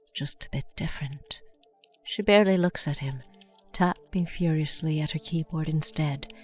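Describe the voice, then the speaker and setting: A woman narrating a dark audio drama as a solemn storyteller, in a measured, grave, scripted style. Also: sits low, no echo.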